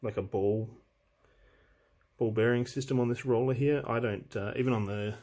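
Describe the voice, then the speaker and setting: A man giving a casual, unscripted walkthrough of equipment, speaking in a hesitant, halting way as he thinks aloud. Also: mid-range voice, steady tone, darkish tone. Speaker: hobbyist presenter demonstrating vintage audio gear.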